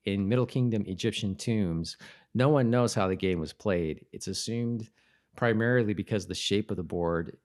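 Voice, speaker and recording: A man co-hosting a podcast, chatting casually about a TV show in a halting way that repeats and trails off. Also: lowish voice, darkish tone. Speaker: podcast co-host.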